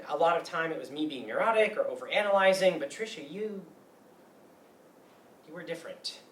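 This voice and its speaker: A man's voice: a little high, close to an everyday voice. Male, actor as bitter, self-deprecating grudge-holder in a comedy-drama reading. Distant, roomy, faint noise. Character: bitter, neurotic grown man nursing an old grudge. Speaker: stage actor. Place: meeting room used for a staged reading.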